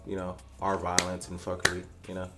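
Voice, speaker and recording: A man with a deep, low-pitched voice co-hosts a reaction channel. He talks casually and slangily, joking and swearing freely. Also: completely flat delivery, brisk, over loud music. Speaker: YouTube co-host.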